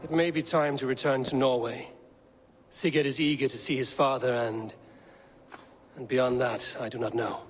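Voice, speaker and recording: A male actor plays a proud Viking raider in a video game, blunt and grave. His voice sits at a medium pitch, with long reverb and music underneath. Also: fairly even intonation, normal speed, slight background noise, close to an everyday voice. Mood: troubled, questioning. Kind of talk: video game cutscene acting.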